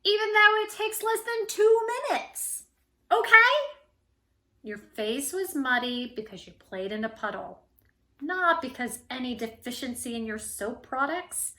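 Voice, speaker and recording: A woman's voice: high-pitched, sing-song, unhurried, sharp tone, full character voice. A woman voicing an indignant, preachy talking pen from a storybook, scolding a kid with pompous, theatrical emphasis.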